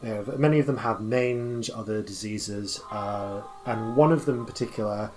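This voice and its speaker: A man running a tabletop game as game master, describing scenes off the cuff and haltingly as he thinks aloud. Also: on the low side, darkish tone, soft background music, poor audio. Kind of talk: improvised narration of a tabletop game.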